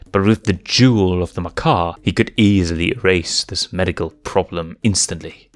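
Man, storybook narrator, low voice, dry close mic, faint music behind. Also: no room sound. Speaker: fanfiction reader.

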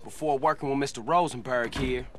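An actor playing a young street-smart gang member in video game cutscenes, talking in casual slang. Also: male voice, medium pitch, brisk, lightly acted. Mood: polite. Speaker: game protagonist.

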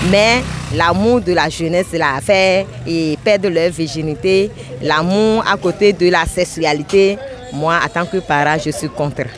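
A middle-aged woman giving her opinion in a casual outdoor street interview. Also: lowish voice. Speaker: interviewed passer-by. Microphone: small mic held by interviewer. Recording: outdoor street with busy crowd noise. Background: crowd.

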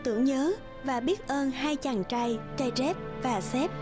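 A woman's voice: high-pitched, measured pace. A woman narrator reading scripted fairy tales in a storytelling style. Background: music.

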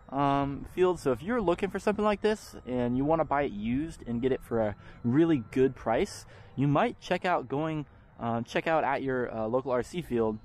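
Young male hobby vlogger, mid-range voice, easygoing chatter, recorded outdoors with light background noise. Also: medium pitch. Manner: relaxed, chatty, frequent ums. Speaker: RC hobby channel host. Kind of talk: casual commentary while flying. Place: open park field outdoors.

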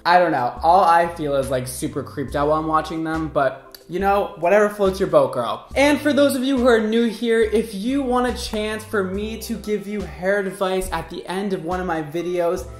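A man's voice: high voice, normal speed, hardly any pauses, echoey room, soft background music. A man in his twenties with an American accent, giving casual reaction commentary as host in a sassy, exclamatory, campy way. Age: 20s. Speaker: host, hairdresser. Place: indoor studio set at a desk.

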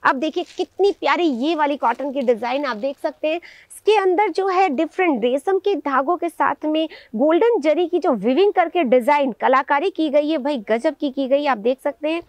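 A woman's voice: high-pitched, normal speed, no room sound. Chatty young woman showing off sarees. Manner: chatty, enthusiastic, addresses viewers directly. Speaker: host presenting sarees. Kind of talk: casual product showcase. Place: indoors in a saree shop.

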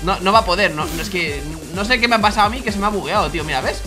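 A young man with an accent from Spain, excitedly commentating a game with friends, mischievous and often repeating his words. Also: high voice, very fast, over music. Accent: Spanish (Spain). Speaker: gaming YouTuber, host.

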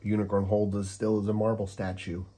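A man reading a children's storybook aloud as an expressive narrator. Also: lowish voice, brisk, a little hiss or hum. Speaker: storyteller, host of a read-aloud show.

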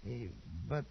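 An actor playing a nervous, slyly dismissive Portuguese trader with a Portuguese accent, a husky gravelly voice and hesitant broken English. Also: male voice, slight background noise. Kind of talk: 1940s radio acting. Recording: dull old radio recording.

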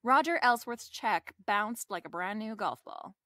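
A wry female private eye from a radio detective drama. She is confident and slangy, wisecracking in a mid-pitched voice. The sound is close and dry. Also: lively intonation, unhurried, fairly bright tone, no echo. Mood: breezy, confident.

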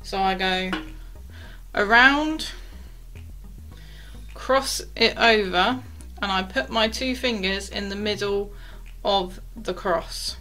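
A young woman, a sailing instructor, walking through knot-tying step by step in a chatty way, with quiet asides as she works the rope, recorded close to a camera in a small wooden cabin. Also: mid-range voice, slow pace.

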